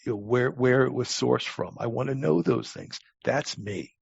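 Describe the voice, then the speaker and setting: An older man answers interview questions with a deeply creaky, rough-edged voice. He is blunt and emphatic, doubling back on words as he goes. The recording is dry and somewhat muffled. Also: animated, moderate pace, no echo. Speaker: podcast guest, talent acquisition advisor. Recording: dull, muffled lo-fi audio.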